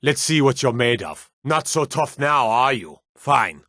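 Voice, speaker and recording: An actor playing a stern, menacing fantasy city guard in a video game, gruff and authoritative, with a weary, corruptible streak. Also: male voice, medium pitch, very animated, measured pace, bright, forceful tone, no room sound, clean studio sound. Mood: gruff, taunting. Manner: gruff, authoritative, clipped threats. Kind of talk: scripted fantasy game voice lines.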